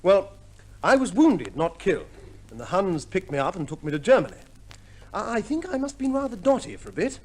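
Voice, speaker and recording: An actor playing a jovial soldier husband home from the war, bantering and exclaiming in 1950s radio comedy style. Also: male voice, sits high, big pitch swings, brisk, a little mellow. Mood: earnest. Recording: noisy old radio recording.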